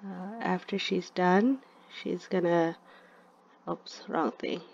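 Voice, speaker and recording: A woman's voice: fairly low pitch, fairly even intonation, takes it slowly, gravelly, darkish tone. A woman giving chatty, casual commentary on her gameplay and talking to her game characters, in a slightly dull lo-fi recording. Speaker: gaming video creator.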